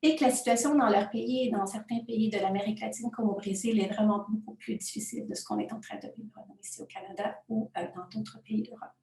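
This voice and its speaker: A woman whose first language is not French gives an academic conference talk from her home office, pausing often with filler sounds. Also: medium pitch, fairly even intonation, darkish tone. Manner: hesitant, frequent filler sounds. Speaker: conference presenter. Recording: roomy distant home recording.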